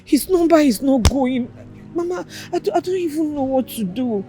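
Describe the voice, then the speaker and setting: An actress playing an anxious young woman who is worried about her brother and talks in a slow, fretful way. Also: high-pitched, lively intonation, mellow, soft background music.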